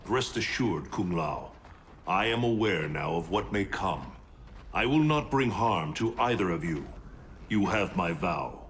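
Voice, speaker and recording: An actor playing a noble, protective god and leader in a video game, formal, grave and deliberate. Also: male voice, low voice, lively intonation, fairly bright tone, slight background noise, heavily acted. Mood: solemn reassurance. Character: solemn male thunder god, noble, protective mentor leader. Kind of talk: video game cutscene acting.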